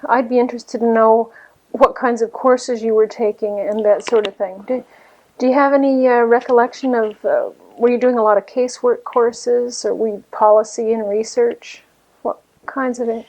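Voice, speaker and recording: A woman conducting an oral history interview at someone's home, asking polite, gently probing questions. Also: a little high, steady tone, normal speed, low-quality recording.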